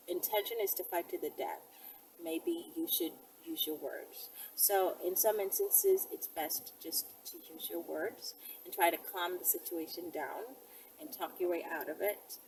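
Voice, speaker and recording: Female self-defense vlogger, lowish voice, conversational and direct, recorded away from the mic. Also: a little mellow. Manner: conversational, addressing viewers directly. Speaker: self-defense video presenter. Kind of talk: casual instructional talk to camera. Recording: distant mic, faint background noise.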